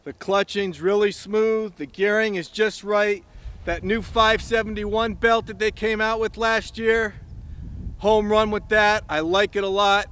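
A man's voice: sits high, fairly even intonation, unhurried, a bit bright, clear, resonant tone. A man giving an enthusiastic, plainspoken review outdoors on a snowy farm, talking casually and a bit rambling. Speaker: snowmobile reviewer.

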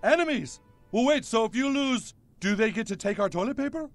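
An actor playing an excitable, greedy cartoon dad who shouts and gets giddy about getting rich, over game music. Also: mid-range voice, fairly quick, close to an everyday voice. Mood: pleading. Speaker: father.

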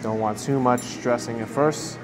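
A man's voice: medium pitch, fairly even intonation, measured pace, over music. A man vlogging a meal at a roadside restaurant, tasting dish after dish and savoring each bite with enthusiastic remarks. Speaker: food vlogger. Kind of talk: casual food vlog narration. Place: roadside restaurant in a hot desert town.

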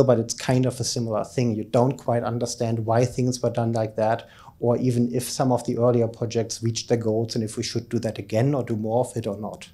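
Mellow-voiced man giving a conference talk. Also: medium pitch. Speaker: keynote speaker, academic researcher. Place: conference lecture room, indoors, at a lectern. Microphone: handheld microphone.

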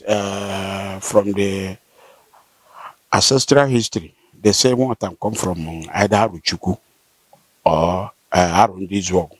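An older man with a southern Nigerian accent, explaining local history conversationally and haltingly, with affirming hums. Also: low-pitched, steady tone, no echo. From Rivers State, southern Nigeria. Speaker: interviewee, local elder. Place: outdoors, seated by corrugated metal fence. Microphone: handheld interview mic held by host.

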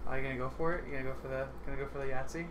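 A man's voice: mid-range voice, steady tone, racing. A man bantering casually at a board game on a livestream, playfully egging the other players on. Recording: noisy livestream capture with room ambience.

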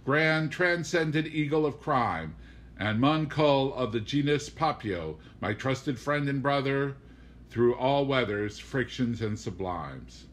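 A man's voice: medium pitch, expressive, takes it slowly, a bit bright, a little hiss or hum. A man voicing a courtly, eccentric circus man in an audiobook, with formal, ornate and ceremonious delivery. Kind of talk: audiobook character voice.

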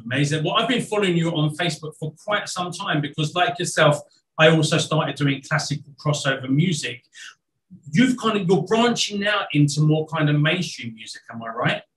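A middle-aged man hosting a talk show interview, quick and enthusiastic, often exclaiming. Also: a little high, animated, fairly bright tone, slight background noise. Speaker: host. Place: indoors, decorated home studio. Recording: roomy echo, mic at a distance.

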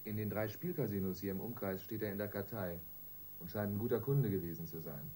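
An actor playing a matter-of-fact police investigator in a radio crime drama, reporting in a measured, factual way. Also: male voice, on the low side, warm tone, a little hiss or hum, natural voice.

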